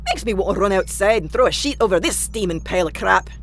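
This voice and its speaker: A woman's voice: mid-range voice, lively intonation, quick pace, a little hiss or hum, lightly acted. A woman playing a wry, irritable spaceship crew member in a comic audio drama, dry and sarcastic. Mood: unimpressed.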